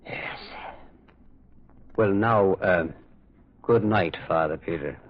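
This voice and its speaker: An actor playing a kindly, modest priest sleuth who speaks hesitantly and thoughtfully in an old-time radio drama. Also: male voice, low voice, slow. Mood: reflective. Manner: hesitant, thoughtful, gently philosophical. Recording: dull vintage radio recording.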